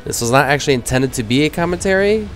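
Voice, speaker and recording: A man's voice: fairly high pitch, expressive, fast talker, faint music behind. Nasal man with a cold. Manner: rambling, self-deprecating. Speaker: gaming commentator. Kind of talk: casual gameplay commentary.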